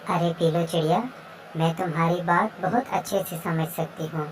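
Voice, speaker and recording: A woman's voice: on the low side, steady tone, moderate pace, close to an everyday voice, rough recording. A woman voicing a kind, worried female pigeon in a children's cartoon story. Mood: kind, persuading.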